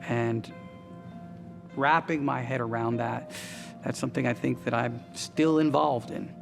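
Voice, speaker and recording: A man's voice: mid-range voice, steady tone, unhurried, vocal fry, music in the background. A man who is a writer, reflecting on his career in a documentary interview.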